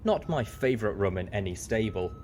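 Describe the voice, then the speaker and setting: A man's voice: fairly low pitch, animated, a little fast, a little mellow, slight background noise. A man reading scripted first-person fiction as the narrator of an audio story.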